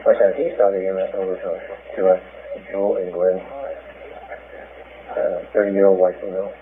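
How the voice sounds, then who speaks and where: A man's voice: low-pitched, flat delivery, moderate pace. A male patrol officer calling in locations and vehicle details over a two-way police radio. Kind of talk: radio check-in traffic. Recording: noisy, narrowband police scanner radio.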